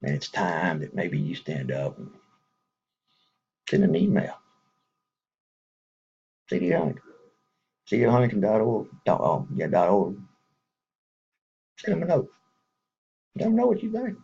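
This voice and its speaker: Gravelly deep-voiced Texan man. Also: wildly swooping pitch, unhurried, pauses often, warm tone, highs cut off. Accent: Texas. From Texas. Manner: folksy, rambling, chuckles between thoughts. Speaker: man speaking to supporters. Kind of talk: casual appeal to viewers.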